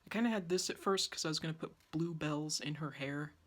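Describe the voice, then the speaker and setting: A woman's voice: low-pitched, fairly quick. A young woman in her twenties chatting casually to her phone camera while painting at home, sounding wheezy from a sore, dry throat. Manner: chatty, self-deprecating, talks while painting. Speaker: art vlogger. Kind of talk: rambling casual chat. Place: small yellow room at home, indoors. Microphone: phone on a tripod, close-up.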